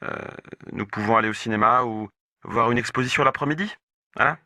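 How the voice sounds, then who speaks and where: Courteous everyman played by an actor, middle-pitched male voice, scripted lesson dialogue. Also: a little fast, sharp tone, no echo, lightly acted.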